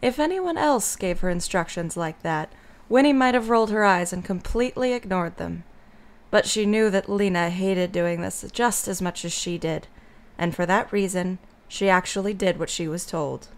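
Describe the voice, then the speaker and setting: A woman's voice: on the low side, moderate pace. A woman narrating a scripted story as the narrator of an audio drama.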